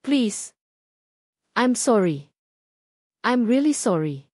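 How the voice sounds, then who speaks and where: A woman's voice: fairly high pitch, sing-song, drawn-out words, darkish tone, dry recording, exaggerated voice. Woman voicing a pleading, remorseful husband, close and dry. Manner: pleading, insistent. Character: pleading, remorseful husband begging his wife.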